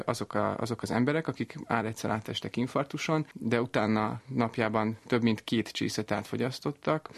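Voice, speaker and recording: A man's voice: fairly low pitch, vocal fry. A man explaining research as a scientific commentator in a studio discussion. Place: radio studio.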